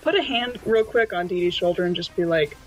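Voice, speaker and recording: A woman's voice: on the low side, animated, a little fast, poor audio. A woman chatting casually as a player in a tabletop roleplaying game.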